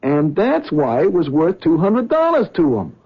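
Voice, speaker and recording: A nervous, earnest cab driver husband from a 1970s radio drama. His husky voice sits a little high and swings widely in pitch as he frets and stammers. The sound is dull and lo-fi like an old broadcast. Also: wildly swooping pitch, moderate pace, exaggerated voice. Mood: worried.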